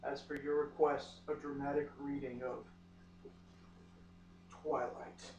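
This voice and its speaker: A man giving an overwrought, melodramatic comic reading of a novel with lingering dramatic pauses. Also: medium pitch, little pitch movement, a little mellow, a little hiss or hum. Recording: echoey room, far from mic, muffled.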